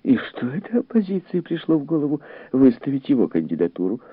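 A male reader plays a gossipy, shallow society lady in an audiobook. The pitch is pushed well up and swoops freely. Her talk is smug and exclamatory, on a narrow phone-like recording. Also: big pitch swings, fast talker, breathy edge, dry recording. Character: chattering, smug, shallow society woman, easily scandalized. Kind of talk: audiobook character voice.

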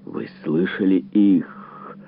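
Man as bitter rebel husband, low gravelly voice, curt, explosive, disgusted, audiobook character. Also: fairly even intonation, very slow, slight background noise, exaggerated voice. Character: angry, rebellious, disgusted husband at breaking point. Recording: narrow, phone-like lo-fi sound.

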